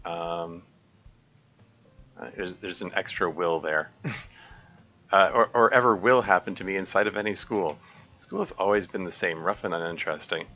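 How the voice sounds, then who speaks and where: A man's voice: sits low, moderate pace, pauses often, bright, forceful tone. A man reading a visual novel aloud on a live stream, often stopping to correct himself and laugh. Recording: dull lo-fi stream audio, faint music.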